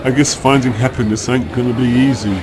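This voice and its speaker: An actor playing a scruffy, drunken sidekick who makes dry, slangy wisecracks. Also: male voice, mid-range voice, steady tone, brisk, lightly acted. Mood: wry. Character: scruffy drunk sidekick, Oster, adult man. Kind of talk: radio drama acting.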